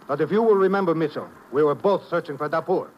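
Male actor as proud formal man, fairly high voice, stiff, precise, suspicious, old radio drama, light noise. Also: fast, dull audio, close to an everyday voice. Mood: indignant. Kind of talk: 1950s radio drama acting. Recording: slightly noisy 1950s radio recording.

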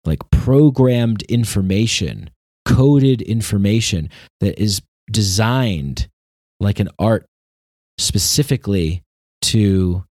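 A man giving an unscripted opinion monologue, earnest and emphatic, often halting and repeating himself as he searches for words. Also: lowish voice, lively intonation, darkish tone, dry recording, clean studio sound. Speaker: commentator.

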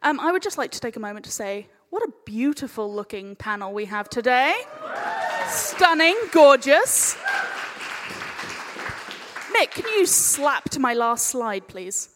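A woman's voice: on the high side, expressive, measured pace, a crowd in the background, background noise. A woman chairing a live panel in a playful, chatty, informal way. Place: large indoor hall at a live panel event. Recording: echoey hall, live event.

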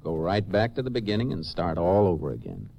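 An American actor playing a calm, methodical Texas Ranger in a 1950s radio drama, measured and matter-of-fact. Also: male voice, low-pitched, vocal fry, heavily acted. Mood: calm, resolute. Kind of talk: 1950s radio drama acting with scripted narration. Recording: muffled, noisy old-time radio recording.

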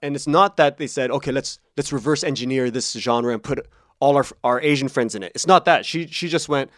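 A man's voice: medium pitch, a little fast, fairly bright tone, dry recording, clean studio sound. A man speaking as an interview guest, telling personal stories conversationally and casually, acting out quotes as he goes. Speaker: interview guest, podcast creator and writer.

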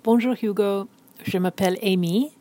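A woman's voice: mid-range voice, moderate pace, dark tone. A woman from South Africa whose first language is English, carefully reading out a prepared message in learner French. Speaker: listener sending a message.

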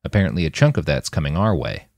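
A man's voice: very deep voice, very animated, fast, darkish tone, dry recording, studio quality, exaggerated voice. A man voicing a friendly, plain-spoken army mechanic in an audiobook, casual and frank. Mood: matter-of-fact, hopeful.

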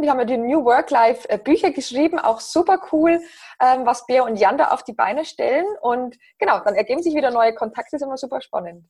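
A woman in her thirties with a Bavarian accent, chatting as a podcast guest in a relaxed, upbeat way. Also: sits high, breathy edge. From Bavaria, near Passau. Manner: chatty, many fillers, upbeat. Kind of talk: casual interview chat.

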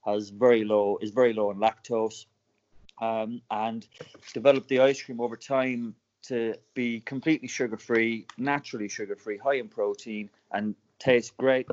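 A man from Cork with an Irish accent, telling his founder story as a podcast guest in a relaxed, chatty way. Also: fairly low pitch, moderate pace, highs cut off. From Ireland. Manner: chatty, laughs often, many restarts. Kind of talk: casual podcast interview storytelling.

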